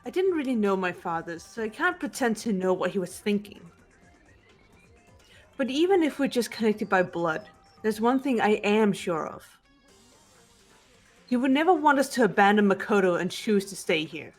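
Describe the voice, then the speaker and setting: A woman voicing a cool, composed teenage girl detective in a video game, measured, serious and earnest. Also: mid-range voice, sing-song, soft background music, pitched below the performer's own voice. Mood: reflective, earnest. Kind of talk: fan voice acting of a video game script.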